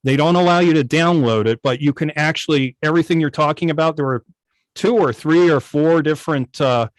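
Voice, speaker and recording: Guy guest on a video chat, voice a bit high, keen hobbyist, no echo. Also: a little fast. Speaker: guest, old-time radio station operator. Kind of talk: casual video call chat. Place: indoors at home on a video call.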